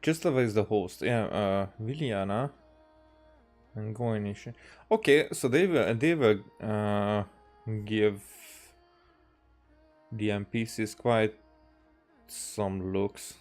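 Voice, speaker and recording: A man from Hungary with a Hungarian accent, giving casual gameplay commentary as a streamer, musing aloud in a halting way. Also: fairly low pitch, a little mellow, soft background music. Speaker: game streamer.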